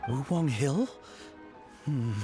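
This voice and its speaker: A man's voice: medium pitch, expressive, slow, warm tone. An actor playing a gloomy, anxious young man in a video game. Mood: uneasy. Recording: music playing behind the voice. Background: clear game music.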